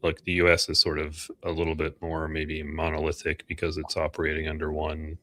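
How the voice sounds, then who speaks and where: Deep-voiced American man hosting a podcast. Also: completely flat delivery, a little fast, a bit bright, slight background noise. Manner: informal, joking. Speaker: podcast host. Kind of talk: casual interview chat.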